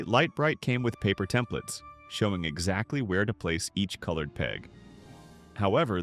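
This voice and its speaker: A man reading a scripted, nostalgic documentary narration in a measured, polished way. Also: lowish voice. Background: background music.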